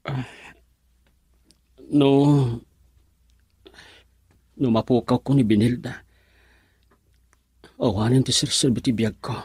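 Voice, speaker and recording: A man's voice: mid-range voice, steady tone, slow, pauses often, a little mellow, breathy edge, no echo, clean studio sound. A man acting in an Ilocano radio drama as a heartbroken, defensive drinker who broods over his lost love. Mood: tipsy, despondent.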